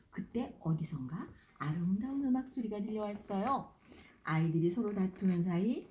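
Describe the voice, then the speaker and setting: Older female storyteller, mellow voice, expressive children's narration, roomy recording with faint noise. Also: fairly low pitch. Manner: expressive, dramatized reading for children.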